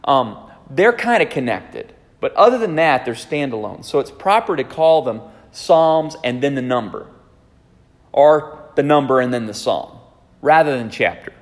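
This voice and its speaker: A man's voice: mid-range voice, lively intonation, a little slow, big-room sound. A pastor in his forties giving a conversational teaching sermon, often checking in with the congregation. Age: 40s. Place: church sanctuary.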